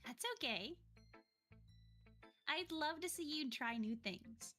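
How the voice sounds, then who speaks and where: A woman acting as a schoolgirl club president from a visual novel, with a very high, animated voice. She is confident and encouraging, coaching in a chirpy, reassuring way over faint music. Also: takes it slowly, exaggerated voice. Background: game music.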